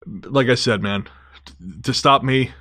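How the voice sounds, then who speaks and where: A man's voice: medium pitch, unhurried, bright, forceful tone. A man of thirty-six hosting a podcast in a casual, chatty style, earnest with a wry joking streak. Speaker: podcast host.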